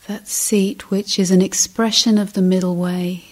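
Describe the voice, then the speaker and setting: Very slow, hushed woman guiding meditation. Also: mid-range voice, fairly even intonation. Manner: unhurried, measured, in short phrases. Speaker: meditation teacher. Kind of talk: guided meditation, slow contemplative speech with long pauses.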